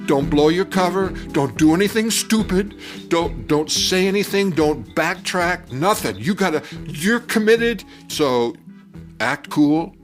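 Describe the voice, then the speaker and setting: An older man telling a personal story from his youth in a conversational, halting and animated way. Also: on the high side. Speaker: storyteller telling his own childhood memory. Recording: music bed under the voice. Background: music.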